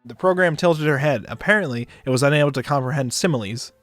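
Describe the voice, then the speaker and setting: A man reading a visual novel aloud as a streamer, with expressive narration. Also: fairly high pitch, quick pace, no echo. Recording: dry close mic, background music.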